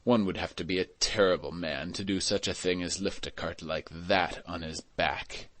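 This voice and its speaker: A man's voice: low-pitched, steady tone, a bit bright, no echo, voice pushed down. A man voicing a stern, suspicious authority figure in a storybook, emphasizing each word with cool indifference. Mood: cold, insinuating. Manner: slow, emphatic, indifferent, deliberate. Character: stern, suspicious, coldly watchful male authority.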